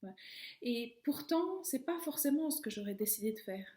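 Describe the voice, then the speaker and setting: A middle-aged woman talking calmly and reflectively to camera, her phrases often trailing off softly. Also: on the high side, moderate pace. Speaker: video host. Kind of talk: personal reflective monologue. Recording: echoey room.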